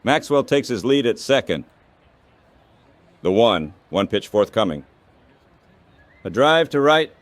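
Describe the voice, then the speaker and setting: A man calling baseball play-by-play as an announcer, in short, measured phrases. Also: mid-range voice, sing-song, dry recording.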